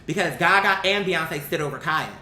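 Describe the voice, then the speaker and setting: A woman's voice: animated, normal speed, sharp tone. Blunt American woman in an echoey room. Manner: blunt, emphatic, slangy, with rhetorical questions and acted-out quotes. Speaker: vlogger, commentary host. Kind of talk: opinionated casual commentary to viewers. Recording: roomy echo with faint rumbling noise.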